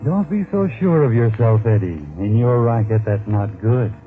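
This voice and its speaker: Actor as sardonic 1940s radio suspense narrator, tinny. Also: male voice, on the low side, animated, normal speed, lightly acted. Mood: mocking. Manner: mocking, dramatic second-person address. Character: sardonic, menacing male narrator taunting a criminal. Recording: tinny 1940s radio recording, music behind. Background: dramatic music.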